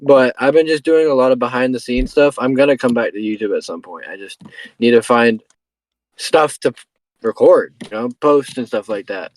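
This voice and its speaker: A man of twenty hosting a casual podcast chat, giving a life update with halting speech and frequent restarts. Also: medium pitch, rough recording. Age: 20s. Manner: halting, restarts sentences often. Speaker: podcast host.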